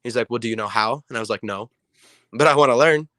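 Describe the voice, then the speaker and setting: A hoarse young man with a raspy voice, a player answering interview questions in a rambling way with many ums. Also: medium pitch, fast talker, sharp tone, dry recording. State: hoarse from illness. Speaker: player guest.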